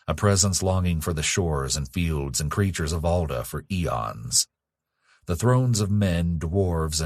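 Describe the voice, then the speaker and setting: A man with a husky voice reading a dark fantasy horror audiobook in a solemn, dramatic, measured storytelling manner. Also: rumbling low, no echo, clean studio sound. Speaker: author narrating own audiobook.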